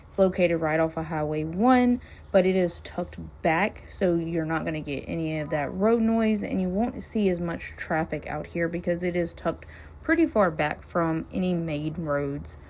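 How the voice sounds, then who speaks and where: A woman realtor, chatting casually while driving, her voice hoarse and scratchy as she loses it. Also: on the low side, a little mellow. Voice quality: gravelly, hoarse. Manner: friendly, chatty, upbeat sales talk. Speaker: realtor hosting a neighborhood tour channel. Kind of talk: casual driving-tour vlog talk. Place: inside a car, driving.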